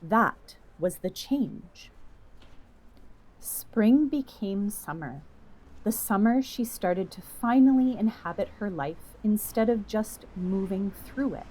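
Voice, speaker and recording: A woman reading a scripted literary story as narrator, in a measured, reflective manner. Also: mid-range voice, lively intonation, darkish tone, low-quality recording.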